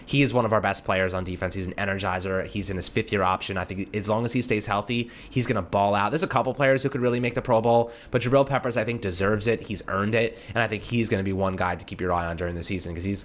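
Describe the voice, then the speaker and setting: A man's voice: deep voice, fairly bright tone, a little hiss or hum. A man hosting a sports show, giving casual fan commentary in an informal, conversational way, talking to callers like friends.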